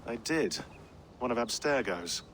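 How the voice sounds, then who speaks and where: An actor playing a dry, sardonic research teammate in a video game, wry and a little pedantic. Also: male voice, medium pitch, expressive, measured pace, a bit bright. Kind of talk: naturalistic video game acting. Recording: clear noise, ambience behind the voice.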